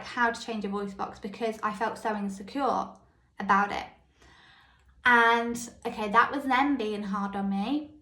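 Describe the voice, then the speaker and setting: A woman with a high, light voice hosting a solo self-help podcast. She tells personal stories and keeps checking in with the listener. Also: fairly bright tone. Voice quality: high, light voice that others called squeaky. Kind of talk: solo motivational podcast monologue. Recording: echoey room.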